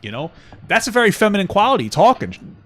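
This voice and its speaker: A young man co-hosting a casual couple podcast at home, rambling and profane, often dropping into mocking high-pitched voices. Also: very animated, quick pace, fairly bright tone, soft background music. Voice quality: smooth voice. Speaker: podcast co-host. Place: home room with a fan.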